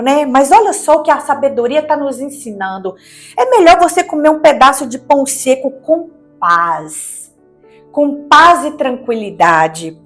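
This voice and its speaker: Woman, Minas Gerais Brazilian accent, devotional preacher, didactic and conversational, very slow pace, soft music behind. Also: a little high. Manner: didactic, conversational, with rhetorical questions and repeated phrases. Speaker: host of a devotional Bible teaching. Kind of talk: devotional sermon, reading and explaining verses. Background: background music.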